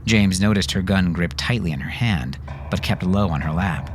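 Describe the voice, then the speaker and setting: Low-voiced male audiobook narrator. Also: normal speed, a little hiss or hum. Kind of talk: dramatic scripted fiction narration.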